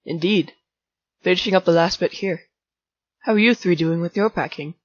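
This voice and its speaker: A woman voicing a formal, gentle adult male fire-monster bartender in a fan comic dub, speaking politely without contractions. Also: on the low side, moderate pace, no room sound, lo-fi sound, natural voice. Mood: kind.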